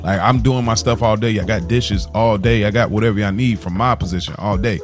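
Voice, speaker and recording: A man's voice: fairly low pitch, brisk, faint music behind. An American man co-hosting a podcast, telling stories in casual, slangy chat and acting out quotes as he goes. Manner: animated retelling with acted-out quotes, slangy. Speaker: podcast co-host.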